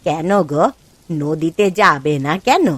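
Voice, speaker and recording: A woman's voice: low voice, expressive, slow pace, fairly bright tone, no echo, heavily acted. A woman playing a nagging old village wife. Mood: scolding, sarcastic. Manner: nagging, sarcastic. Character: scolding, sharp-tongued old village wife. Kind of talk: Bengali cartoon moral story acting.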